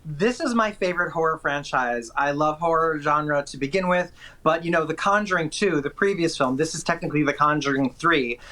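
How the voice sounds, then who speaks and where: A man's voice: fairly high pitch, brisk, hardly any pauses, sharp tone. A man working as a film critic, giving chatty, enthusiastic and opinionated movie reviews with emphatic delivery.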